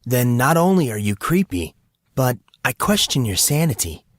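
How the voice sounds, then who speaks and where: A man's voice: mid-range voice, sing-song, moderate pace, no echo. Wry teenage boy, played by a man, sarcastic and guarded, brooding fantasy-drama hero, close dry sound. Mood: sarcastic teasing.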